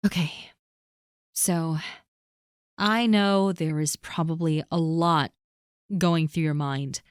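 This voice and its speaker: A woman performing a scripted audio roleplay, gently and earnestly reassuring a friend who is spoken to directly. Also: low voice, fairly even intonation, takes it slowly, clean tone, no echo, clean studio sound.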